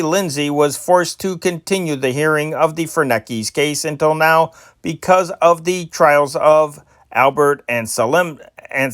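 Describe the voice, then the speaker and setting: A man's voice: a little high, a bit bright, no echo, studio quality. A man reading old newspaper stories aloud, with occasional stumbles and restarts. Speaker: amateur news reader and channel host.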